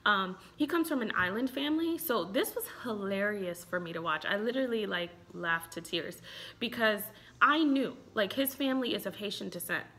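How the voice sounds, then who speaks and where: A woman in her thirties with an American accent, talking in a casual, expressive way as a relationship expert giving a review. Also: medium pitch, moderate pace. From Chicago, United States. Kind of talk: casual vlog commentary. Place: small room indoors at home.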